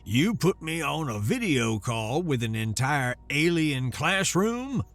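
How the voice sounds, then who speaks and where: A man putting on a gravelly, grumpy old grandpa. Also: on the high side, big pitch swings, takes it slowly, fairly bright tone, exaggerated voice. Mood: scolding. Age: older. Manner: sarcastic, scolding.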